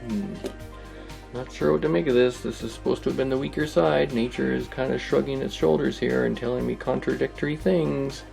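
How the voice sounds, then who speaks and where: A man's voice: medium pitch, fairly even intonation, a little fast, vocal fry, darkish tone, soft background music. A man narrating a hobby workshop project informally, thinking aloud in a hesitant, rambling, musing way. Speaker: host of a hobby electronics restoration video.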